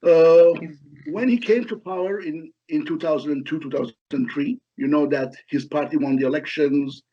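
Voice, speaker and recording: A middle-aged man from Lebanon giving halting, explanatory answers as an academic expert in an interview. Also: on the high side, warm tone. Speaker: history professor, interview guest. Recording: dull, lo-fi call audio.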